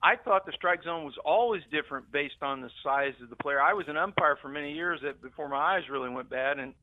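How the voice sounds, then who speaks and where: A man's voice: on the high side, a little fast, dry recording. An older American man calling in to a radio show, giving casual sports opinions. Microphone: phone call. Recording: narrow phone line sound.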